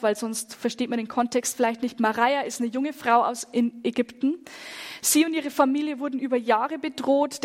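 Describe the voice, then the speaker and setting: A woman speaking in a church, telling moving stories with an earnest tone and dropping to a hush at the gravest moments. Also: high voice. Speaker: church speaker on persecuted Christians. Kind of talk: sermon-style testimony.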